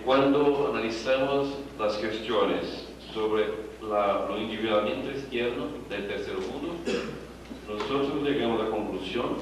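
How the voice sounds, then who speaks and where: A university professor speaks in a lowish, measured voice, pausing now and then as he builds his argument. He is picked up from a distance across a hall with a heavy echo. Background noise runs steadily underneath. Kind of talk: formal conference speech. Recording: echoey hall, distant mic, noisy.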